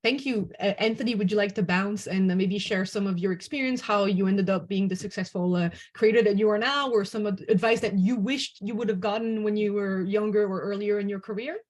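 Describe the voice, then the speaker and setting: A woman's voice: mid-range voice, fairly even intonation, brisk, few pauses. Woman moderating an online discussion. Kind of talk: moderated discussion, spontaneous speech.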